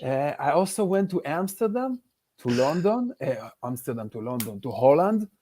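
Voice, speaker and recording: A man with an Israeli accent and Hebrew as his first language, doing a deadpan stand-up set over a video call with halting restarts. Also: fairly high pitch. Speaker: stand-up comedian.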